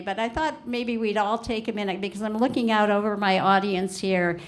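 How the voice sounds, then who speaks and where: An older woman hosting a live public radio roundtable in front of an audience, chatty and self-deprecating. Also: mid-range voice. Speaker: radio show host. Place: community arts center before a live audience.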